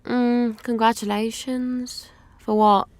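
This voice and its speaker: An actress playing a sharp, rattled young student and fiancée in an audio drama, snappy and evasive. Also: female voice, fairly high pitch, little pitch movement, takes it slowly, no echo. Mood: evasive, breezy. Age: young adult.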